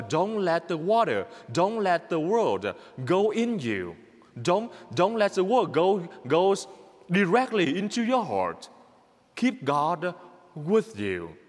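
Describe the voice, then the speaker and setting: Vietnamese-accented priest, slow and lively. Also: male voice, sits high, big-room sound. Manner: repetitive, emphatic, exhorting, simple halting English. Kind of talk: homily.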